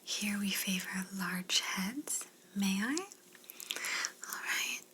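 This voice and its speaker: A woman voicing a polite, curious alien examiner in an ASMR roleplay, speaking gently and formally in a breathy whisper. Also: medium pitch, takes it slowly. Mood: intrigued, polite, then soothing, attentive.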